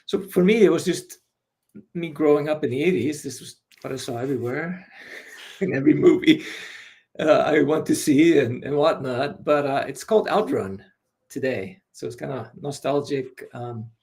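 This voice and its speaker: A man in his forties, born in Iceland, talking as a guest in a relaxed, hesitant way with many fillers over a video call. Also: on the high side, normal speed. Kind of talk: casual interview.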